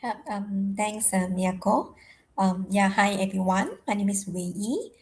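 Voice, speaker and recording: A woman's voice: medium pitch, unhurried, slight background noise. A woman introduces herself as co-host of an online session, hesitant with frequent ums. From Malaysia.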